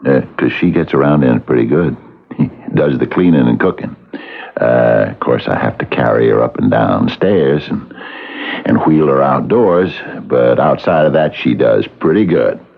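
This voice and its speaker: A muffled old radio drama recording of a folksy man with a very deep, creaky voice. He coaxes gently and repeats himself, soothing and almost hypnotic. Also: animated, normal speed, full character voice. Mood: folksy, hesitant. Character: genial, coaxing married man, soothing, quietly hypnotic. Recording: muffled old radio broadcast, music behind.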